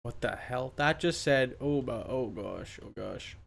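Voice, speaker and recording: A man's voice: medium pitch, expressive, a little slow, gravelly. A man chatting casually on a live game stream.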